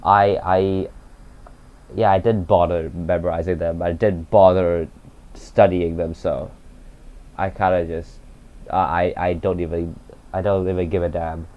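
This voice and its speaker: A very deep-voiced teenage boy, Cantonese his first language, rambles through a casual vlog with a glum, halting delivery full of "like" and "uh". The home recording sounds a little dull and muffled. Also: flat delivery. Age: teens. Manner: halting, many fillers and restarts, glum. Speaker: gaming YouTuber.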